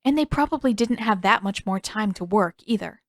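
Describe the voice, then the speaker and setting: A woman narrates a piece of written fiction as a storyteller. Her voice is medium in pitch, and the recording is dry with no room sound. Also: lively intonation, a little fast, dry recording. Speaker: podfic narrator and author.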